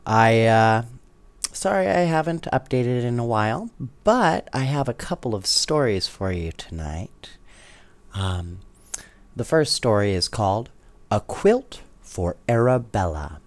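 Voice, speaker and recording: A man's voice: mid-range voice, big pitch swings, a little slow, no room sound. A man reading children's bedtime stories aloud, with casual asides to his listeners. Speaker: bedtime story reader.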